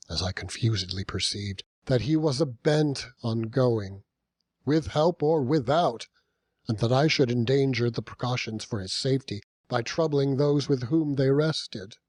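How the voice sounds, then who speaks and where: A man narrating a classic novel as an audiobook, in a measured, dramatic storytelling manner with deliberate pauses. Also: medium pitch, husky, no echo, clean studio sound. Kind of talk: scripted literary narration.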